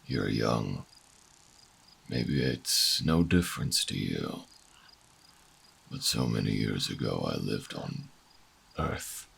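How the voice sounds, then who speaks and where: A bitter old revolutionary fugitive in a sci-fi audio drama. His voice is extremely low and full of gravel, and he speaks slowly, with brooding, defiant theatricality. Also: fairly bright tone, exaggerated voice. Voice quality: very gravelly, husky. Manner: dramatic, brooding, halting monologue. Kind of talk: audio roleplay drama acting.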